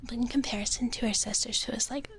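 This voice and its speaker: A woman livestreamer whispering breathily and gently into a close microphone as she chats playfully with viewers in ASMR style, in a big-sister dragon persona. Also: fairly high pitch, steady tone, quick pace. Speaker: livestream host. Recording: close-up whisper with clear background noise.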